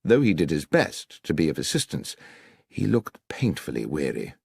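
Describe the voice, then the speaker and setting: A man's voice: extremely low pitch, wildly swooping pitch, moderate pace, creaky, husky, dry recording. A man reading a scripted detective audiobook as its narrator.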